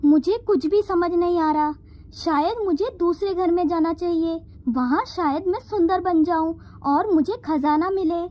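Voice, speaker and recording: Woman playing vain young cartoon fairy, very high soft-toned voice, greedy, whiny. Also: unhurried. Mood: dismayed.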